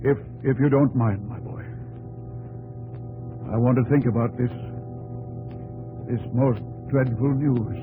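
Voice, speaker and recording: An actor playing a thoughtful, fatherly American president in a 1950s radio drama, with a gentle, measured, slightly hesitant manner. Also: mid-range voice, phone-quality sound, music in the background, close to an everyday voice. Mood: grave. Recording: noisy old 1950s radio recording.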